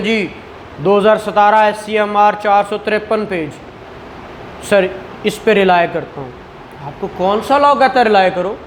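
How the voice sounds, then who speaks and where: A woman's voice: mid-range voice, slight background noise, rough recording. A woman with a Pakistani accent lecturing a class on law, conversational, asking questions as she explains and switching into English terms. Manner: conversational, frequent rhetorical questions and acted-out dialogue.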